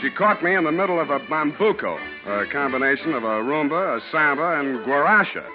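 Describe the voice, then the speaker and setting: An actor playing a wry, street-wise American private detective, deadpan and wisecracking, in 1940s radio style. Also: male voice, on the high side, brisk, lightly acted. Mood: wry, narrating. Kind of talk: 1940s radio acting, narration and dialogue. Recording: muffled 1940s radio recording, music behind.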